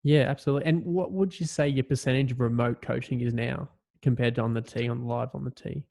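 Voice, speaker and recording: A man hosting a casual podcast interview, asking questions in a steady, even way. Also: medium pitch, fast, gravelly. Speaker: podcast host.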